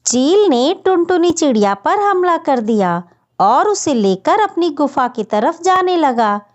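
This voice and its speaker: A woman's voice: high voice, big pitch swings, a little slow. A woman reading a scripted children's story as its narrator. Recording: dull, muffled lo-fi audio.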